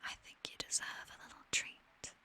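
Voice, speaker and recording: A British woman playing a possessive, teasing vampire mistress in an intimate ASMR roleplay, whispering breathily. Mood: doting, possessive. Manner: slow, teasing, softly commanding.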